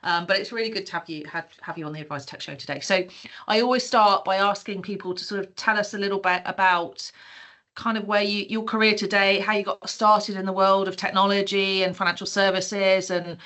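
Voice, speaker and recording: Middle-aged woman hosting, bright crisp voice, chatty kinda rambly questions. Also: medium pitch, steady tone, brisk. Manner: friendly, slightly rambling questions. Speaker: podcast host. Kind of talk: conversational interview hosting.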